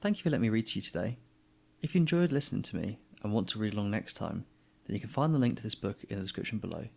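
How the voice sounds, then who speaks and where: Creaky-voiced man reading a storybook. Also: lowish voice, animated, very fast, darkish tone. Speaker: storybook reader, channel host. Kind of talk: expressive children's story read-aloud.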